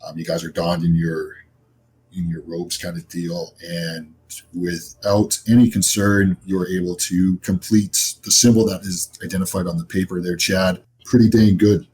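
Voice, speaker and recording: A man's voice: low voice, level tone, normal speed, a little mellow, a little hiss or hum. A man narrating a tabletop game as game master, in an informal, improvised, conversational way.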